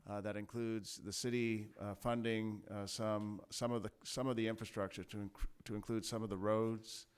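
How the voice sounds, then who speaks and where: Low, steady-voiced man briefing a council. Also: clear, resonant tone. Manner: measured, many fillers and restarts. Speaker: city staff presenting to council. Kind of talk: formal briefing. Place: council chamber.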